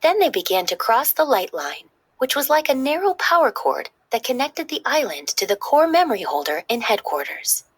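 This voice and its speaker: Husky, expressive woman reading a storybook. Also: fairly high pitch, moderate pace, hardly any pauses. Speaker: children's story reader. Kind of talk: expressive scripted read-aloud narration.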